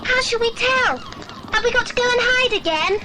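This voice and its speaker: A woman's voice: extremely high pitch, moderate pace, background noise. A woman playing an anxious, sweet little British girl, timid and questioning, in a children's audio drama. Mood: worried.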